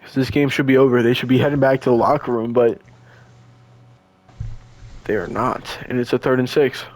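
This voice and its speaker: A young man, hoarse and losing his voice, doing casual, slangy play-by-play of a video game football match. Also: medium pitch, normal speed. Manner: excitable, slangy, stumbles and restarts. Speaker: play-by-play commentator.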